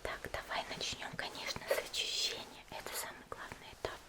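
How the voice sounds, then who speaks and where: A fully whispered, breathy female voice of a woman acting as a cheerful young demon slayer in an ASMR spa roleplay. She is gentle and caring, chatting softly and unhurriedly with small hesitations, as if pampering the listener. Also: fairly quick, lightly acted. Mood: gentle, explanatory.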